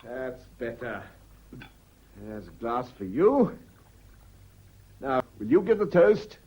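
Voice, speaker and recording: Anxious husband, swinging wildly in pitch. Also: male voice, sing-song, takes it slowly, slightly rough, lo-fi sound, exaggerated voice. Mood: giddy, elated. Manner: hesitant, stammering, earnest. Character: anxious, guilt-ridden husband, swinging from remorse to elation. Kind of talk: British radio drama acting.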